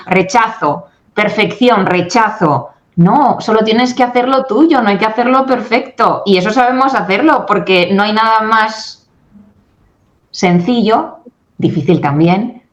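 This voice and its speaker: A woman in her forties from Spain talks as a radio interview guest, lively and animated, slipping into little acted-out dialogues. Her voice sits at a middle pitch, and the recording is somewhat dull and muffled. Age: 40s. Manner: animated, acts out quoted dialogue. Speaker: guest, English communication coach.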